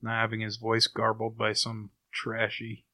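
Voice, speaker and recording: A man's voice: on the low side, monotone, unhurried, sharp tone, no room sound. A man chatting casually as a podcast co-host, reacting and giving his opinion in a review discussion.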